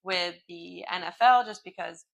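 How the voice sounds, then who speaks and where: A woman co-hosting a podcast in relaxed casual chat, her sentences trailing loosely. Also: lowish voice, normal speed, vocal fry. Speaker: podcast co-host.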